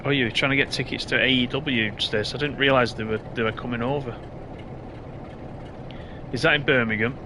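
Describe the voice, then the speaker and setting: A man casually commenting on his train game while streaming, reading out and answering chat comments, his voice a bit hoarse. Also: mid-range voice, fairly even intonation, normal speed, pauses often, noisy background. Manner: chatty, reads out chat comments. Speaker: live streamer.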